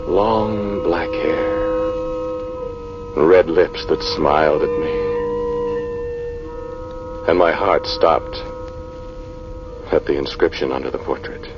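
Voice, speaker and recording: A very deep voice plays a haunted scientist, brooding and dramatic. The delivery halts like a man reliving terror in a 1940s radio horror play. The old recording is dull, with music clearly behind him. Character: haunted male scientist recounting a terrifying tomb ordeal.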